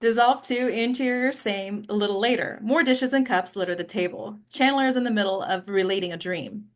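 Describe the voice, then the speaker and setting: A woman's voice: mid-range voice, brisk. A woman giving scripted narration, reading stage directions at a table read.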